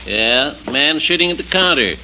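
An actor playing a chatty, familiar small-town druggist in old-time radio drama, folksy and gossipy. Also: male voice, a little high, animated, normal speed. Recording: muffled vintage radio recording, faint noise.

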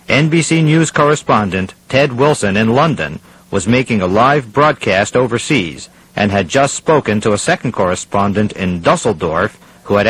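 A man's voice: mid-range voice, a bit bright, a little hiss or hum, natural voice, poor audio. A man playing a serious radio news anchor, reading a formal scripted crisis bulletin.

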